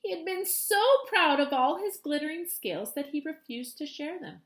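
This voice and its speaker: A woman's voice: sits high, animated, moderate pace, a bit bright. A woman teacher reading a children's storybook aloud expressively to her students.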